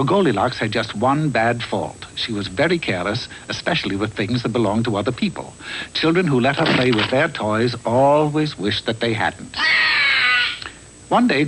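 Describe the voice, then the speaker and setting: A man's voice: medium pitch, slight background noise, natural voice, poor audio. A man narrating a comic fairy tale in a wry, theatrical storyteller's manner, from a script.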